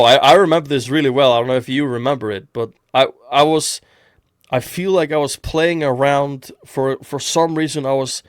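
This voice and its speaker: A man's voice: medium pitch, dry recording. Rambling man in a dry close mic. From Iceland. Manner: rambling, with many restarts. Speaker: podcast host. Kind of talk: casual podcast chat.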